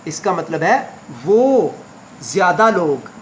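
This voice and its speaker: A man teaching spoken English to beginners, going word by word and repeating each meaning slowly. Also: high voice, sing-song, reverberant, slight background noise. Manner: slow, repetitive word-by-word explanation.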